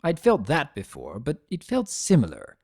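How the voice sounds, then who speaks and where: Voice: mid-range voice, huge pitch swings, normal speed, gravelly, darkish tone, dry recording, clean studio sound, full character voice. A performer voicing a wry, grumbling male spirit of chaos, sarcastic and theatrical, telling a story in the first person. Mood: wry, reflective. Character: Discord, sardonic, grumbling male spirit of chaos turned statue.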